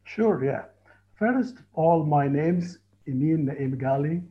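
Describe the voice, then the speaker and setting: A man in his forties from Egypt with an Egyptian accent, telling his life story in a halting, earnest way over a call. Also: on the high side, lively intonation. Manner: halting, earnest, frequent restarts. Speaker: tour guide and Egyptologist. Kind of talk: casual interview on a call. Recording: muffled lo-fi call audio.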